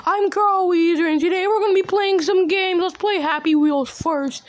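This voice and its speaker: A performer voicing Carl, a whiny, excitable, easily scared kid-like gamer, shrieking through comedic game commentary and talking about himself in the third person. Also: very high-pitched, moderate pace. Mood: excited, goofy. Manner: shrieking, whining, refers to self in third person. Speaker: gaming video host. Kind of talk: comedic gaming commentary in character.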